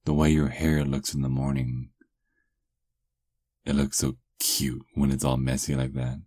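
A man's voice: rumbling low, level tone, no echo. A man playing a doting, teasing vampire lover in an intimate, murmured ASMR roleplay, close to the microphone. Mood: adoring. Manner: slow, intimate murmuring with soft chuckles. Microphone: close microphone, dry.

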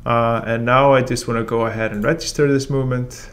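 A man in his forties teaching a software tutorial in a relaxed, step-by-step way, with frequent ums. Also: medium pitch, a little fast. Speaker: software trainer. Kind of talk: casual screen-share tutorial. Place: room with a brick wall and whiteboard, then voice-over of screen recording.

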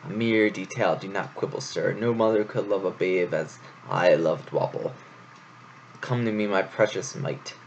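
A man's voice: low voice, a little slow, dull audio, slight background noise. A man voicing a melodramatic female mouse actress who declaims and pleads in an overwrought way. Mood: haughty.

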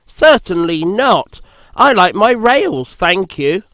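Man putting on a high, cheeky little steam engine. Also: sing-song, takes it slowly, sharp tone, no echo, heavily acted. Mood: proud. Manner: indignant, emphatic exclamations. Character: cheeky, proud, excitable young male steam engine.

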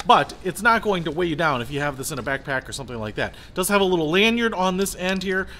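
A man's voice: high voice, very animated, fast talker, a bit bright. A middle-aged American man giving a casual, chatty, enthusiastic product demonstration. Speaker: product review host.

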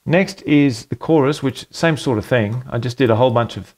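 A man in his forties talks in a relaxed, rambling way, with plenty of fillers and restarts. He speaks at a middle pitch, recorded on a desk camera mic in a small home studio room. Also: normal speed, slight background noise. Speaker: home music producer and channel host. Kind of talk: casual walkthrough of a home recording.

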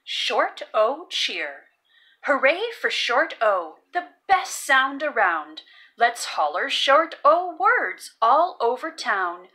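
A woman reading a children's picture book aloud and teaching phonics, expressive and deliberate, with sing-song emphasis on key words. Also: high voice. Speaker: children's read-aloud narrator.

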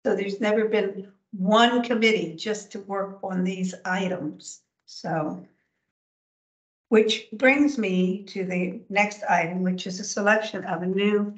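Older lady running a town commission meeting, soft and hesitant, roomy echoey chamber, muffled sound. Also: mid-range voice. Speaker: commission chairperson. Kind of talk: meeting discussion. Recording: echoey room, dull lo-fi sound.

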